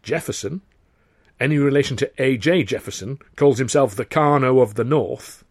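A man's voice: medium pitch, normal speed, a little mellow, dry recording, clean studio sound, pitched above the performer's own voice, close to an everyday voice. A man playing a blustering old-time theatre boss. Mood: jovial. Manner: blustering, hesitant then snapping. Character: middle-aged male theatre impresario, jovial but touchy.

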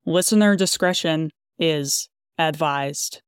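A scripted announcer voice with a smooth, clear tone. It moves slowly from phrase to phrase in a dry, close recording. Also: mid-range voice, no echo. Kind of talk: flat scripted content-warning reading.

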